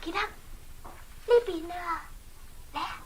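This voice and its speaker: A woman with a Hong Kong Cantonese accent playing a gentle, secretive young princess in a children's audio drama. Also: very high voice, slow pace, a little hiss or hum, full character voice. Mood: secretive, urgent.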